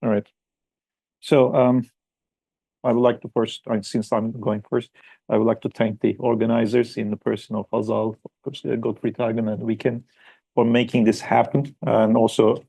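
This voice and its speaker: Mellow-toned male historian presenting at a workshop; halting, filler-heavy delivery. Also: medium pitch, little pitch movement, vocal fry, slightly rough, rough recording. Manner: hesitant, many fillers and restarts. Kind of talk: academic conference talk. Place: conference room.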